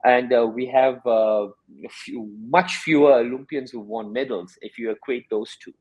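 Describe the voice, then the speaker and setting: A man's voice: mid-range voice, moderate pace, darkish tone, highs cut off. A man who works as a sports scientist and performance coach, answering interview questions in a reflective way and explaining with examples.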